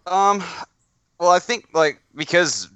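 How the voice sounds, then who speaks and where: A man's voice: high voice, animated, takes it slowly, a bit bright, clean tone, dry recording, dull audio. A man with an Australian accent chatting casually as a podcast guest, often hesitating as he thinks aloud. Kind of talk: casual chat.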